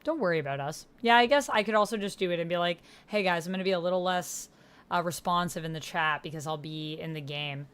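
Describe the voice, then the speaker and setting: Woman, lower-pitched voice, casual livestream chat, thinking aloud, talking to viewers. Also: fast, a bit bright, smooth. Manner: reads and reacts aloud while talking to chat. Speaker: livestreamer playing a text-based roleplaying game.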